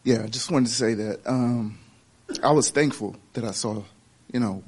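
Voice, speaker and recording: A man chatting as a caller in a halting way, full of fillers. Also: mid-range voice, slight background noise. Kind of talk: casual chat.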